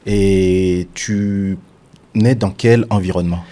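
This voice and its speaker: Low-voiced male host. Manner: calm, measured questions, frequent short acknowledgements. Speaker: interviewer, host. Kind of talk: casual interview.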